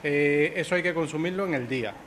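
A man's voice: on the high side, brisk, a bit bright, slight background noise. A man from Ecuador, the manager of a pastry shop, talking in an interview with many fillers and restarts. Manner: hesitant, repeats words, many fillers. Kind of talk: interview soundbite.

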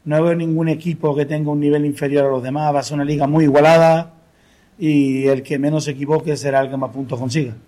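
A man, a football coach, calmly breaking down a match in a post-game interview. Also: on the high side. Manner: measured, analytical, with restarts.

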